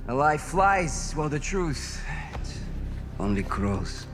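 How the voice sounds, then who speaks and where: An actor playing a menacing male terrorist leader in a video game cutscene, speaking in slow, declaiming speeches over a music score. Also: fairly high pitch, big pitch swings. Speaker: villain.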